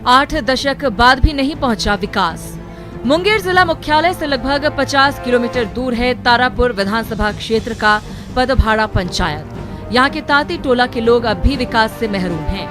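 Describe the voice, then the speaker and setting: A woman's voice: fairly high pitch, animated, normal speed. A woman reading a scripted television news voice-over. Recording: loud music bed under voice. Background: music.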